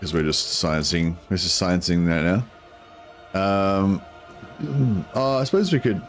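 A man, a croaky voice and a headset, chatting casually while streaming a strategy game, rambling with many ums. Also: low-pitched, unhurried, faint music behind. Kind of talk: casual gameplay commentary.

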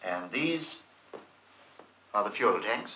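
A man's voice: lowish voice, lively intonation, slow pace, slight background noise. An actor playing an urbane, sly British male investigator who questions politely but insistently in 1950s film style. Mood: politely probing. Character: probing, sly, urbane male investigator posing as chatty acquaintance. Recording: dull, muffled 1950s film soundtrack.

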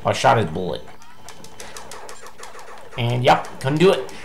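A young man streams a game from a small room, ranting and cursing at it in a hoarse, gravelly voice. He speaks slowly and low, but his pitch leaps wildly whenever he gets frustrated. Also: big pitch swings, faint music behind. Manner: ranting, swearing, frustrated outbursts. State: losing his voice. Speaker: gameplay streamer. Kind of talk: angry gameplay commentary.

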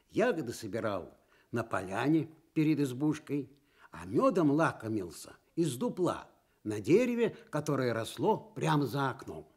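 A man narrating a children's fairy tale for radio as an expressive, scripted storyteller. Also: mid-range voice, slightly rough.

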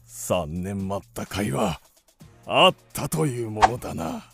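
An actor playing a cruel, gloating king of ancient China in a radio drama, with pompous, drawn-out, theatrical delivery. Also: lowish voice, sing-song, vocal fry, heavily acted. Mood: menacing, gloating. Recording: music behind the voice.

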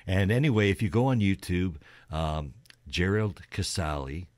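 A man's voice: low-pitched, animated, normal speed, no room sound. A man co-hosting a radio show, chatting casually and explaining things in an informal, hesitant way. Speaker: radio co-host. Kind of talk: casual music chat.

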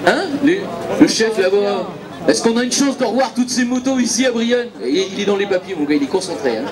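Man, high voice, event announcer, handheld mic, upbeat, crowd chatter around. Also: lively intonation, a little fast. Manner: upbeat, urging applause. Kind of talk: outdoor prize-giving announcing. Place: outdoors at a motorbike race. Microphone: handheld microphone.

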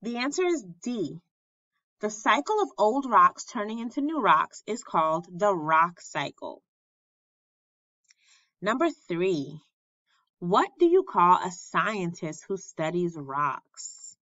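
A woman reading aloud to children as a teacher, deliberate and clearly enunciated, in an instructional way. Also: fairly low pitch, bright, forceful tone, dry recording, highs cut off. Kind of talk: children's read-aloud lesson narration.

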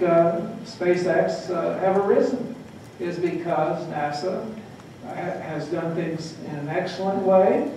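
Emphatic guy on a panel, bit high-pitched, far off in a boomy hall. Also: a little mellow, slight background noise. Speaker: panelist, former agency staffer. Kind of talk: panel discussion. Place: hotel conference room, panel table. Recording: echoey hall recorded from far off.

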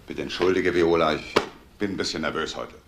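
An actor playing a nervous grown son in a crime drama, pleading in an urgent, halting way. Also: male voice, extremely low pitch. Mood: edgy. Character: nervous, pleading adult son of wealthy family. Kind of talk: German crime drama acting. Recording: roomy sound, faint background noise.

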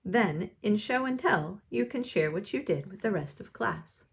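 A woman's voice: medium pitch, animated, measured pace, gravelly, breathy edge, full character voice. A woman reading the part of a firm, encouraging female schoolteacher in a storybook, emphatic and instructive.

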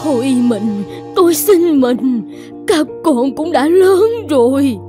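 A woman voicing a gentle, anxious middle-aged mother in a cartoon drama, pleading and earnest. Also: high voice, animated, takes it slowly. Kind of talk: animated cartoon drama dialogue. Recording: music behind the voice.

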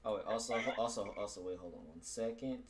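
A cocky, vengeful werewolf in a game roleplay , played by a man. The voice sits low and talks brashly, with swearing and repeats. The home recording has clear background noise. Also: fast, natural voice. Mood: brash, irritated. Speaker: roleplay player and lead actor. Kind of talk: improvised game roleplay, casual chat. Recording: noisy home game mic.

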